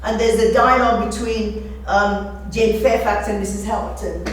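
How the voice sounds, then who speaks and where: A woman's voice: medium pitch, steady tone, moderate pace. A woman giving an explanatory literary talk, emphatic and repeating phrases for effect. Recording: echoey room, distant mic, faint noise.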